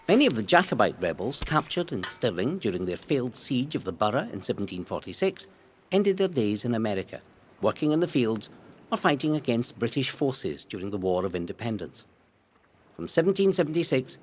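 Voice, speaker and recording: A Scottish man reading a scripted history narration in a dramatic, deliberate storytelling way. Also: mid-range voice, a little hiss or hum. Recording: long hall-like reverb.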